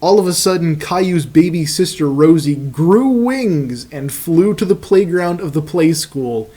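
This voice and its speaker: A man's voice: a little high, lively intonation, measured pace, dark tone. A man reading fan fiction aloud as host, in a comic narration with profane, mocking casual asides.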